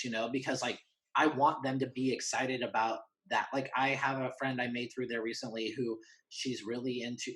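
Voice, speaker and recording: A man talking candidly and casually as a podcast guest, earnest and halting, often voicing quoted asides. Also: mid-range voice, a bit bright, poor audio.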